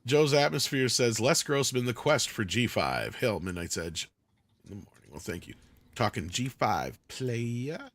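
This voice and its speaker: A man's voice: medium pitch, fairly bright tone, no room sound. A man hosting a talk show, chatting loosely and reading out viewer comments with the odd swear word. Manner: loose and conversational, swears casually. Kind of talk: casual chat.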